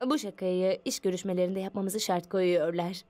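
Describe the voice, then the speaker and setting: A woman playing an earnest, formal corporate scientist in a cartoon dub, with a deadpan manner. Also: on the low side, moderate pace, over music, natural voice.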